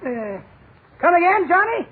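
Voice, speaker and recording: A man's voice: sing-song, a little slow, narrow, tinny audio, exaggerated voice. An actor playing a garrulous old man who tells corny tall tales, in a drawling, cackling old voice for 1940s radio comedy. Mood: folksy. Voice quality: cackling, wheezy high old voice. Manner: drawling, mishears words on purpose. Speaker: neighbor visitor. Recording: scratchy 1940s radio broadcast, loud studio audience.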